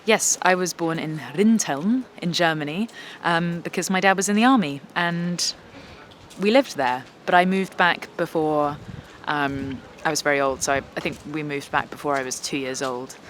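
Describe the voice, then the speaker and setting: A woman's voice: low-pitched, normal speed, slight background noise. A young adult woman, a singer-songwriter and guitarist, chatting casually as she reads out viewer questions and answers them with self-deprecating humour. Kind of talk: casual Q&A vlog.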